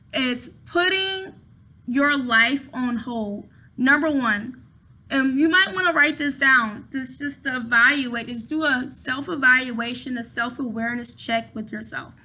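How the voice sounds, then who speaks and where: A woman's voice: sits high, sharp tone. A woman in her thirties talking casually to camera at home, chatty and rambling, often repeating her words. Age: 30s. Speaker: vlogger.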